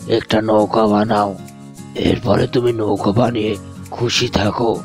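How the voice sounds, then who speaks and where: A man voicing a gentle, giving old mango tree in a children's storybook tale, speaking in a fatherly, apologetic way. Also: on the low side, flat delivery, unhurried, soft background music.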